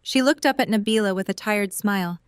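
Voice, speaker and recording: A woman's voice: mid-range voice, a little fast, clear, resonant tone, no echo, studio quality. A woman reading scripted third-person story narration for an audio drama.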